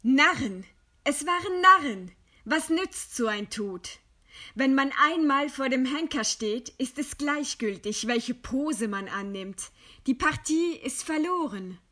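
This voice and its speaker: Slow-spoken, weary condemned woman. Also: sits high, animated, bright, forceful tone, heavily acted. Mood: bitter, scornful. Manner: deliberate, dramatic delivery with dry sarcasm. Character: weary, bitterly ironic woman facing execution. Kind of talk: German radio play acting.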